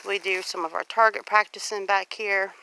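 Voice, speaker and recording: A woman's voice: medium pitch, level tone, moderate pace, gravelly, pushed and bright, low-quality recording. A woman with a Southern US accent chats in a folksy way, explaining things as she walks and films a casual vlog. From Louisiana, US. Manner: chatty, folksy, explaining while walking. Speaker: homestead vlogger. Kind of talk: casual walk-and-talk vlog. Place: outdoors on a rural homestead. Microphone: handheld phone. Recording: faint outdoor noise, handheld phone.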